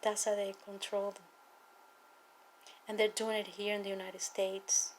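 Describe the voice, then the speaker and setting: A woman from Cuba with a Cuban accent, a native Spanish speaker, giving an earnest, emphatic personal testimony and political appeal with deliberate repetition. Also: mid-range voice, flat delivery, a little hiss or hum. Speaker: political activist speaking to viewers.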